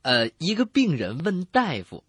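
A man's voice: a little high, huge pitch swings, moderate pace, a bit bright, no room sound. A man co-hosting a radio comedy show, telling jokes with playful banter. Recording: studio with clear music behind.

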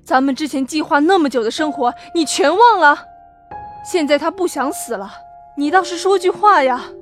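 A woman's voice: sits high, animated, brisk, soft background music. A woman voicing an impatient, desperate daughter-in-law of thirty-eight in an audio drama, urgent and argumentative. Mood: furious, accusing. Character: desperate, impatient 38-year-old daughter-in-law, sharp-tempered. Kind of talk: acted audio drama dialogue.

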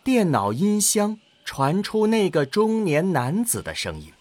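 A man with a husky voice narrating a scripted science-fiction audio drama. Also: high-pitched, wildly swooping pitch, a little slow, a little mellow, no room sound.

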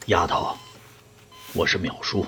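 A man's voice: low voice, measured pace, creaky, sharp tone, husky, faint music behind, exaggerated voice. A man playing a familiar, cynical older colleague speaking furtively on a phone call in an audio drama. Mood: guarded. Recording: dull muffled phone call, background noise.

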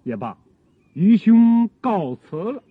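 A theatrical gentleman scholar, high and lilting with a husky grain. He speaks slowly in ornate phrases, teasing and effusive, on a phone-like period radio drama recording. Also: very animated, no echo, full character voice. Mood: offended, pressing. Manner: theatrical, florid classical phrasing.

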